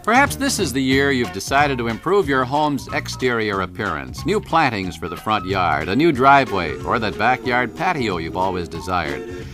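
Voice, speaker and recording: A man's voice: fairly low pitch, sing-song, moderate pace. A man reading a scripted 1970s radio commercial as the announcer. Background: guitar music.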